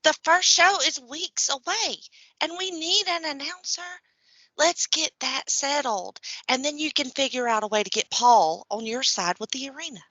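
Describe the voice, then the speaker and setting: A woman's voice: sits high, moderate pace, bright, forceful tone, dry recording, lo-fi sound. A woman playing an encouraging, practical wrestling assistant with a coaxing, businesslike manner in a comedic audio play.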